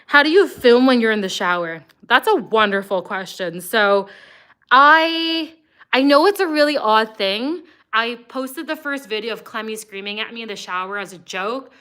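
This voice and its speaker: A woman with a smooth, clear voice, talking casually on a livestream. Her pitch sits in an ordinary middle range. She chats loosely with viewers and fills her sentences with "like" and "um.". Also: pushed and bright. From Canada. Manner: chatty, frequent "like" and "um" fillers. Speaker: livestream host answering viewer comments.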